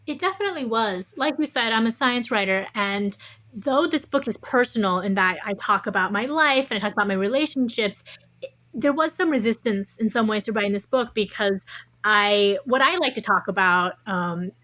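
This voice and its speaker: A woman's voice: medium pitch, lively intonation, normal speed, pushed and bright, no echo. A woman speaking as an interview guest, giving thoughtful, conversational answers. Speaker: interview guest, science writer.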